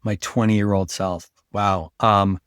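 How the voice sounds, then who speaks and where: A middle-aged man with a fairly deep voice talks in a casual interview. He is emphatic and repeats phrases to land a point. The sound is dry, with no room on it. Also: steady tone, slow pace, a bit bright, clean tone, no room sound. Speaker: podcast guest, brand marketing expert.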